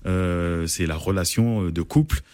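A man with a very low voice, heard as a guest in a radio interview. He talks haltingly, full of fillers and restarts. The studio sound is dry and close. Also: a little mellow, no echo. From France. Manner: hesitant, many fillers and restarts. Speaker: event organizer, guest.

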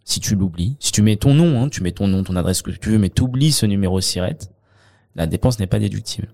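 French male accountant guest, deep mellow voice, halting delivery full of fillers. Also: gravelly. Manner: hesitant, many fillers and restarts. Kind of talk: conversational interview.

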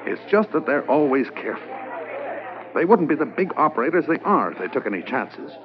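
An actor playing a calm, confident undercover policeman in a 1950s radio drama. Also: fairly high pitch, brisk. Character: undercover Canadian policeman posing as smuggler. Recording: dull old radio transcription, faint hiss.

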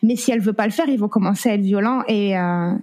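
A woman's voice: mid-range voice, fast, warm tone. A woman in her thirties telling her own story in an interview, hesitant and full of fillers, with English words slipping in. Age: 30s. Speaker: guest sharing her story. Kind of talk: candid personal testimony.

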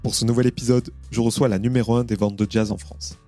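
A man hosting an interview podcast, reading a quick scripted introduction and then asking friendly questions. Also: mid-range voice, level tone, warm tone, soft background music. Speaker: podcast host.

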